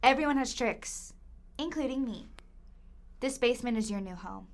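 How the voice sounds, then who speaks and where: A young woman playing a blithe, creepy high school kidnapper in a student audio drama, casual and taunting. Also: animated, moderate pace, lightly acted. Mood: smug, slighted. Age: young adult.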